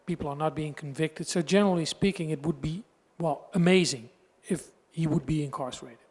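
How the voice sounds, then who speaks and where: Higher-pitched man in a reverberant hall. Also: fairly quick, darkish tone, slightly rough. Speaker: conference panelist. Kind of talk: unscripted expert comment on a panel. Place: large indoor conference hall. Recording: echoey hall, long reverb.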